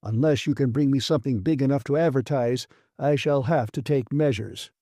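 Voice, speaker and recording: A man's voice: mid-range voice, normal speed, warm tone, no room sound, clean studio sound, close to an everyday voice. A man voicing a blustering, self-important male station director in an audiobook, with a pompous, badgering, officious manner. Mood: scornful, threatening. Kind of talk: dramatised audiobook reading.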